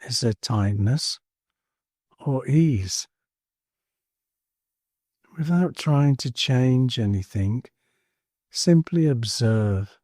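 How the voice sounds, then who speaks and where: A man leading a guided meditation in a calm, soothing manner, leaving space between short phrases. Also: medium pitch, extremely slow, pauses often, no room sound, clean studio sound. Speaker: meditation teacher. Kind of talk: guided body scan meditation.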